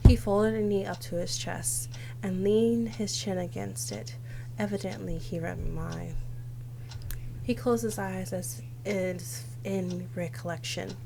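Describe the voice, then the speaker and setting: A woman's voice: mid-range voice, creaky. Young woman reading a game aloud, faint hum. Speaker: game streamer. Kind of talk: reading visual novel text aloud with casual commentary.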